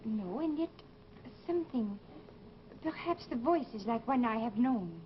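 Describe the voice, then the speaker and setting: Radio drama ingénue: young Frenchwoman, courteous and wistful, French accent, animated pitch, dull hissy old recording. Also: unhurried. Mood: polite, uneasy. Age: young adult. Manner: demure, formally polite. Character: polite, wistful young French innkeeper's daughter. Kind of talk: 1940s radio drama acting.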